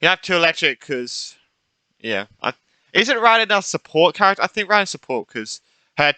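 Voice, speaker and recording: A man's voice: on the high side, sing-song, normal speed, pushed and bright, clear, resonant tone, no room sound. A young man giving casual, informal and rambling commentary as he plays through a video game. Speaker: gaming YouTuber. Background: game music.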